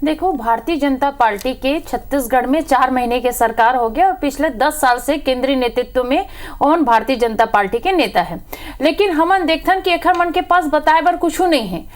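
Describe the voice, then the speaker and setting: A woman with a strikingly high voice speaks as a party spokesperson in a televised debate. She has a Chhattisgarh accent. Her delivery is argumentative, full of rhetorical challenges. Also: normal speed. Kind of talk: political TV debate.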